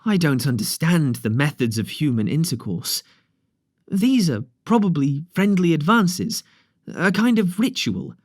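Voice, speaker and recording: A man's voice: a little high, big pitch swings, a little slow, dry recording, studio quality. A man reading aloud, voicing a bewildered, earnest male angel who speaks formally and carefully. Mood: puzzled. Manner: puzzled, formal, careful speech.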